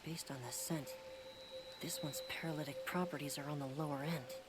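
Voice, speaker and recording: A woman playing an excitable, scholarly monster researcher in a video game, erudite and absorbed in field study. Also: low-pitched, a little fast, lightly acted. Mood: fascinated. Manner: eccentric, erudite, rapt. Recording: loud game music behind. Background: loud music.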